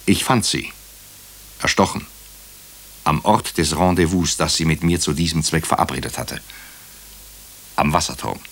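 Deep-voiced brooding doctor. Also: male voice, fairly bright tone, full character voice. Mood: grave, measured. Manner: clipped, deliberate phrases with dramatic pauses. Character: brooding male hospital doctor recounting a murder case. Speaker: actor narrating as the lead character. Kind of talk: German radio crime drama narration.

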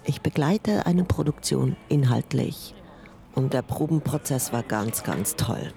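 An actress playing a chatty, conciliatory dramaturge who talks in a casual, halting, tactful and slightly awkward way. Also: female voice, a bass voice, expressive, gravelly, mellow, exaggerated voice.

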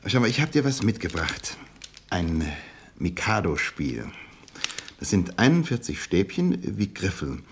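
Radio drama role: ingratiating bachelor businessman, low husky voice that swings widely, hesitant and gushing. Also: big pitch swings, measured pace, full character voice. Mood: jovial, coaxing. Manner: gushing, hesitant, chuckling between phrases. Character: effusive, ingratiating bachelor businessman, clumsy flatterer. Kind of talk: naturalistic German radio drama acting.

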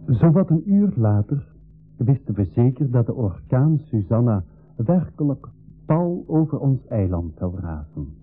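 An actor playing an eager young adventurer who narrates and acts in an earnest, expressive radio play. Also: male voice, mid-range voice, unhurried, faint music behind. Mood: solemn storytelling. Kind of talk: dramatic storytelling in radio play. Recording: dull old radio play recording.

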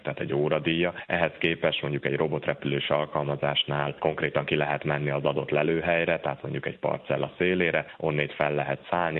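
A man's voice: extremely low pitch, narrow, tinny audio. A man giving long, technical explanations as an expert guest in a radio interview. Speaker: aerial archaeologist, interview guest.

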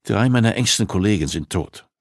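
Male reader as gruff investigator, low gravelly voice, curt, deadpan, sardonic, storybook dialogue. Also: brisk, no echo, clean studio sound. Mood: dismissive, bitter.

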